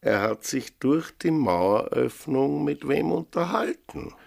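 Radio drama: officious Austrian village police sergeant, gravelly edge, lively inflection, curt interrogator who keeps probing. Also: male voice, a little high, slow pace, exaggerated voice. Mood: insistent. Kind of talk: German-language radio drama acting.

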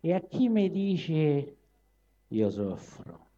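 A man voicing a grim clerical hyena who mutters low and slow with a Roman accent. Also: very animated, mellow, heavily acted. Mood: grumbling. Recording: dull live recording.

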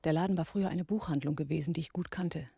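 A cool, observant woman tells a crime story in scripted first person. Her voice sits low and has a husky edge, in the manner of a 1980s radio play. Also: quick pace, warm tone, dry recording, studio quality, heavily acted. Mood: composed, matter-of-fact. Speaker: narrator and character in a radio play.

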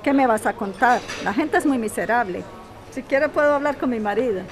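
A woman's voice: fairly high pitch, lively intonation, fairly quick, over music, close to an everyday voice. A woman acting a blunt, down-to-earth bar waitress in an audio drama. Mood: matter-of-fact. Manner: frank, plain-spoken. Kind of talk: audio fiction podcast acting. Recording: bar crowd noise behind.

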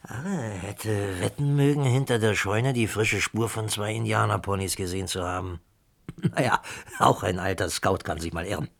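An actor playing a wry, chatty old prairie scout with a husky voice and folksy teasing in a German radio play. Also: male voice, fairly low pitch, steady tone, moderate pace, a bit bright.

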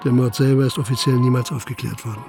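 An actor playing a principled, dogged Prussian police commissioner in a German radio drama, formal and articulate. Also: male voice, medium pitch, flat delivery, quick pace, creaky, music in the background, lightly acted. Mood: sober, resigned. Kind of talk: German radio drama acting, dialogue and first-person narration.

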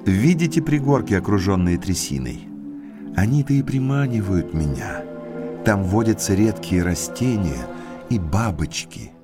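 Casting: a courteous, deliberate naturalist and moorland guide, deep register, enigmatic air, audiobook drama. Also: male voice, animated, over music. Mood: grave warning. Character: well-mannered male naturalist, eager guide to eerie moors. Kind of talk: Russian audiobook drama acting.

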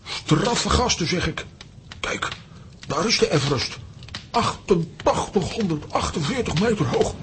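A man's voice: sits high, lively intonation, measured pace, background noise. A man playing a blustering, boastful and slightly dim cartoon fellow in a children's audio drama. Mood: impressed.

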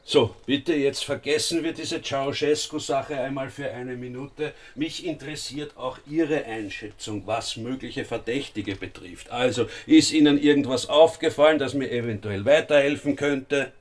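An actor playing a brisk, matter-of-fact police investigator with an Austrian accent in a radio drama, businesslike and insistent. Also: male voice, mid-range voice, close to an everyday voice.

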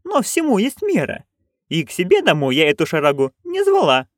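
A storyteller voicing a chatty, coarse, bawdy old witch woman who rambles loudly. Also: mid-range voice, huge pitch swings, a little fast, no echo, studio quality, voice pushed up. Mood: gossipy.

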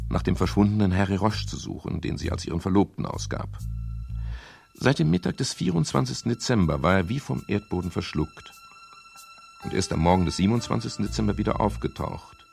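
An actor playing a wry, hard-boiled private detective, narrating his case in a dry, deliberate, ironic way for a radio crime drama. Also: lowish voice, huge pitch swings, vocal fry, darkish tone, soft background music, heavily acted. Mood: wry, measured. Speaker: first-person narrator.

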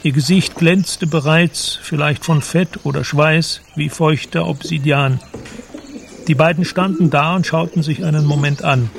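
A man's voice: a little high, steady tone, a little slow, vocal fry, slight background noise. A man reading scripted literary narration as the narrator of a radio drama.

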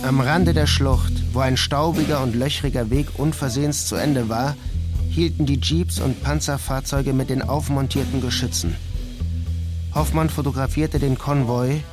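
A man narrating a literary radio play in a measured, deliberate manner. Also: medium pitch, fairly even intonation, over music.

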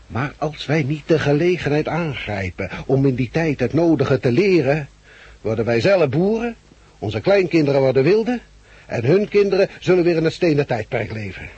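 A man acting a blunt, loudmouthed labour agitator turned survivor leader in a 1960s radio play, forceful and lecturing. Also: fairly high pitch, animated, normal speed, husky. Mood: earnest, lecturing. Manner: forceful, lecturing, coarse-tongued. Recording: muffled-edged 1969 radio play recording.

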